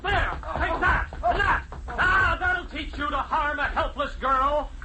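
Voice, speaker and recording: An actor playing a young, blustering street-fight hero in a melodramatic 1950s radio drama. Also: male voice, very high-pitched, slow, rough recording. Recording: old radio, loud music and clamour.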